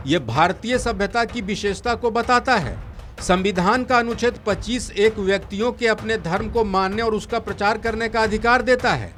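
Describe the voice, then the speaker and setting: A man reading scripted news as a presenter, in a formal, measured delivery. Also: high voice, fairly bright tone. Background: faint background music.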